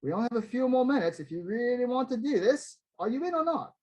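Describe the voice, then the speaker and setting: A man reading a story, voicing a secretive British rock star who speaks in hurried, insistent tones. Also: very high-pitched, very animated, darkish tone, voice pushed up, exaggerated voice. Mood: coaxing.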